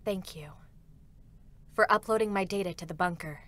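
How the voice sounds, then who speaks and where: A woman's voice: lowish voice, moderate pace, a bit bright, close to an everyday voice. A woman voicing a stoic female combat android in a dramatic reading, formal, serious and restrained, with sorrow held back. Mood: quiet, restrained.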